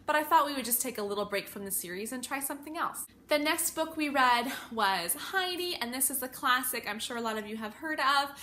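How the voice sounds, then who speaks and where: Bright-voiced woman chatting in a casual vlog. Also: high-pitched, animated, fairly quick, few pauses, a little hiss or hum. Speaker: homeschooling parent vlogger.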